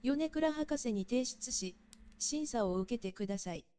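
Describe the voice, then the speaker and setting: A woman's voice: fairly high pitch, animated, a little fast, dark tone, close to an everyday voice. A woman's synthetic-sounding voice as an impersonal announcer in an audio drama, giving formal scripted instructions.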